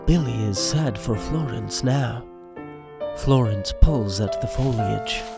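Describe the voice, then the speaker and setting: A man's voice: medium pitch, slow pace, creaky. A man reading scripted narration for an audio drama. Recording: music under the voice.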